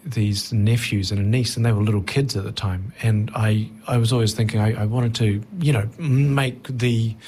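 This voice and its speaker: A middle-aged man talking reflectively as an interview guest, thoughtful and halting, often rephrasing mid-sentence. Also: fairly low pitch, steady tone, a little mellow, a little hiss or hum. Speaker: touring stand-up comedian, interview guest.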